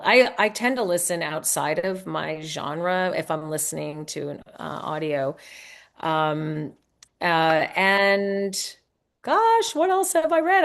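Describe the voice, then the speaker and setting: A woman speaking as a guest author in a casual interview, conversational and often pausing to think aloud. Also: lowish voice.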